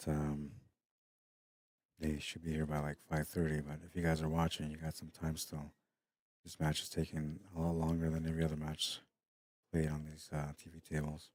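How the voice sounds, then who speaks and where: A middle-aged man commentating a pool match in a hushed, unhurried voice with a very deep bass, tired late in the day. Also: dry recording. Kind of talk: quiet live sports commentary. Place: pool hall during a tournament.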